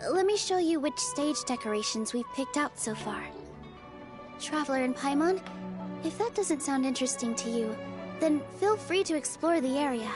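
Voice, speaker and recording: A woman's voice: sits high, natural voice. A woman playing a cheerful, gracious young theater dancer in a video game, speaking politely and welcomingly. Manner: bright-spirited, polite, welcoming.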